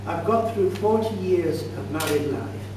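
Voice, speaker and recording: A man in his sixties telling a comic tall tale to an audience as a contest speaker, in a deliberate, mock-grand deadpan with dramatic pauses. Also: high-pitched. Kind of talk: theatrical comic storytelling speech to an audience. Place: indoor function room at a club meeting. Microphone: distant camera microphone. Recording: distant echoey room recording with loud noise and hum.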